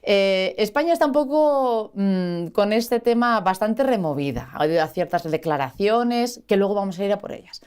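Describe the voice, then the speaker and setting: A woman's voice: mid-range voice, expressive, moderate pace, hardly any pauses, a little mellow, clean tone. A woman from the Basque Country hosting a studio talk show, chatty and full of anecdotes and asides. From Basque Country, Spain.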